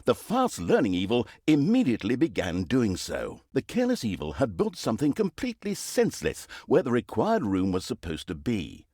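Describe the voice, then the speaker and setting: A man's voice: mid-range voice, wildly swooping pitch, moderate pace, hardly any pauses, darkish tone, slightly rough, no room sound, clean studio sound. A man playing a sardonic, mock-grand storybook narrator in a video game, telling of an evil overlord's deeds with theatrical flair. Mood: grandly sardonic.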